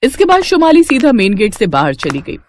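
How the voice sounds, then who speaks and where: A woman storyteller reads a scripted tale with highly animated intonation. Her voice sits on the high side, and there is faint noise in the recording. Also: brisk.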